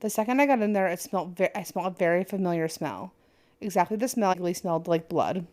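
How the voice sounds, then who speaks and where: A woman's voice: lowish voice, lively intonation, quick pace, a little mellow. A woman co-hosting a casual podcast, reading an online post aloud with little asides and stumbles. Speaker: podcast co-host.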